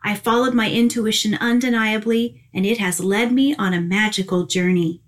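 A woman hosts a spiritual podcast, gushing and chatty with frequent laughs. She sounds tired. Her pitch sits in a middle range. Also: fairly even intonation, normal speed. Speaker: podcast host and angel communicator. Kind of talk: casual spiritual podcast chat.

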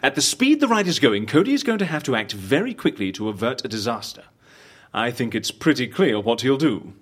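A suave fixer in an audio drama, all business and wry theatricality. His voice is husky and swoops widely in pitch. He speaks articulately and persuasively, like someone selling a scheme. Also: sing-song, a little fast, a bit bright. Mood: brisk scheming. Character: suave, businesslike agency fixer who stages fake deaths, wry and theatrical.

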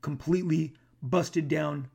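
Man, gravelly husky voice, casual rambling talk, stops and restarts a lot. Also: on the high side, steady tone, slow, warm tone, lo-fi sound. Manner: rambling, with many restarts and fillers. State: gravelly, clearing his throat. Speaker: comic book artist.

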